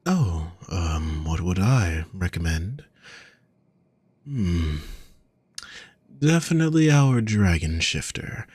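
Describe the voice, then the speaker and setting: Man voicing a slow, deep, gentle teasing demon. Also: animated, slightly rough, dry recording, exaggerated voice. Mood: coaxing, playful. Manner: slow, teasing, genteel endearments. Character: gentle, teasing, courteous male demon companion. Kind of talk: roleplay audio acting addressed to the listener.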